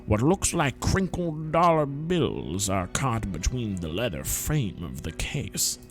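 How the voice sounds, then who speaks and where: A man's voice: mid-range voice, very animated, slow pace, faint music behind. A man reading a visual novel aloud to friends, playful and slipping in whispered joke asides. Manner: playful, drops whispered running-gag asides.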